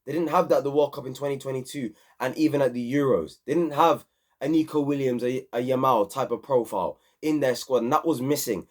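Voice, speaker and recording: A young man hosting an excited, slangy football match review, a bit hoarse from screaming. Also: mid-range voice. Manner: animated, repetitive, slangy. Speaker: football channel host.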